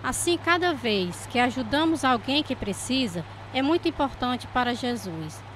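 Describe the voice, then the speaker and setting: A woman's voice: high-pitched, expressive, normal speed, a bit bright, slight background noise, rough recording. A woman acting as a devout, patient charity worker in a radio drama, speaking earnestly with a Brazilian accent. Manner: earnest, preaching.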